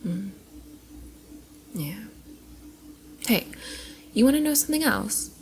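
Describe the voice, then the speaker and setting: Playful young cosplayer girl, soft and crackly, woman acting. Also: fairly high pitch, sing-song, takes it slowly, heavily acted. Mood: soft, coy. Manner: giggly, coy, often dropping into soft breathy murmurs. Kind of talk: ASMR roleplay, intimate one-sided dialogue to the listener.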